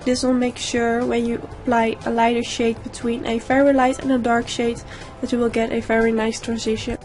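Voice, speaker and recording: A young woman with a slightly hoarse voice from a sore throat, calmly explaining each step of a makeup tutorial in a voice-over. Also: on the high side, moderate pace, faint music behind. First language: Dutch. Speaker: makeup YouTuber.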